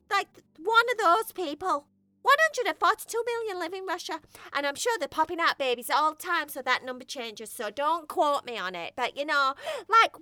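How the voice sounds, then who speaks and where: A woman's voice: very high voice, no echo, studio quality, exaggerated voice. Woman playing Cherry, a chattering boastful cat. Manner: rambling, excitable, self-admiring. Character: scatterbrained boastful young female cat. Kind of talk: bedtime storybook character voice.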